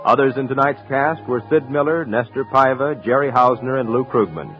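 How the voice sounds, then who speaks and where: A man's voice: mid-range voice, normal speed, faint music behind. A man announcing in scripted 1950s radio style, reading credits and programme promos. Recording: muffled 1950s radio recording.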